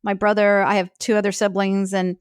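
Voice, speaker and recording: A woman's voice: mid-range voice, little pitch movement, fairly quick, clean tone, no room sound, clean studio sound. A woman in her fifties, a health coach born in Peru whose first language was Spanish, chatting casually in an interview and often saying "like". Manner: chatty, many "like" fillers, laughs easily.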